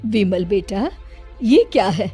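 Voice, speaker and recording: A woman's voice: medium pitch, lively intonation, slow pace, over music. A woman voicing a worried middle-aged mother in a cartoon, who speaks in a pleading, anxious way. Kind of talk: cartoon voice acting.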